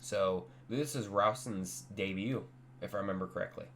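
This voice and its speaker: A man's voice: fairly low pitch, moderate pace, fairly bright tone, slight background noise. A young man with a stuffy, congested voice, giving a blunt, rambling reaction to a night of fights. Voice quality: nasal, stuffy. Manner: blunt, offhand, rambling with restarts. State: congested after sickness. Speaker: MMA fight reaction host. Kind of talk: casual post-fight reaction chat.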